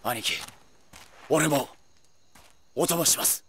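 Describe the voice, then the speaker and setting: A man's voice: fairly high pitch, slow pace, gravelly, a bit bright, a little hiss or hum, exaggerated voice. A man playing a hot-blooded, loyal young yakuza underboss in a video game drama, earnest and pleading with his boss. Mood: pleading, stubborn.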